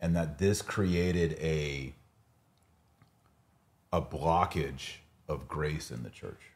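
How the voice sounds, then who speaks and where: A man's voice: rumbling low, very slow, a little mellow. A man hosting a podcast in a casual, conversational way, with informal, slangy phrasing. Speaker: podcast host.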